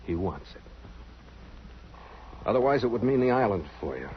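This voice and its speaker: An actor playing a grave, troubled ship's captain who also narrates, in old-time radio drama style, clipped and earnest. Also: male voice, lowish voice, big pitch swings, moderate pace, a little hiss or hum. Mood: businesslike, restrained. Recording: muffled old radio recording with music.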